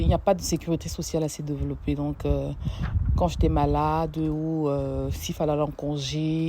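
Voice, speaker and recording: A young woman from Africa, a social work student, giving a hesitant personal testimony with many pauses and restarts. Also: sits low, mellow, slight background noise.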